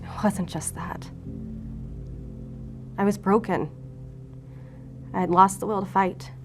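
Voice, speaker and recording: A woman's voice: medium pitch, fairly even intonation, slow pace, soft background music. An actress playing a wounded, guarded female FBI agent who talks with sardonic defiance in an audio drama. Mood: bleak, pained. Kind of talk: audio drama acting, confessional.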